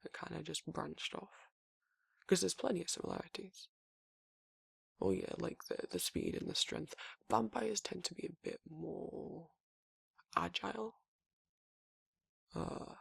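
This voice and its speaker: Man acting a very gravelly werewolf boyfriend. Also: on the high side, fairly bright tone, dry recording, full character voice. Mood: musing, rambling. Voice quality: gravelly, husky. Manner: halting, rambling, casual swearing. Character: gruff, laid-back male werewolf boyfriend explaining monster lore. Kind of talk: intimate ASMR roleplay monologue.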